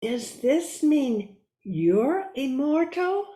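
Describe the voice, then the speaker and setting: A woman acts out an innocent young niece from a radio thriller. Her voice is high and soft-toned. She speaks slowly and hesitantly, always questioning. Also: big pitch swings, exaggerated voice. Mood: puzzled. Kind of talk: old-time radio thriller acting.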